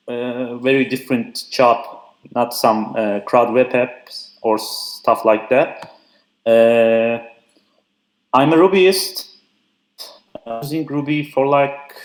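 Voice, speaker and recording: Young man, relaxed chatty speaker, lots of filler words, echoey remote call audio. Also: medium pitch. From Turkey. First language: Turkish. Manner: frequent "uh" fillers, loose and chatty. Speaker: conference speaker. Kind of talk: casual technical talk.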